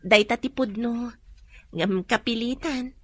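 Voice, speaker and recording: A woman's voice: fairly high pitch, takes it slowly, fairly bright tone, breathy edge, no room sound, full character voice. An actress playing an earnest young female student with devoted dreams, in a radio drama. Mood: earnest, wistful.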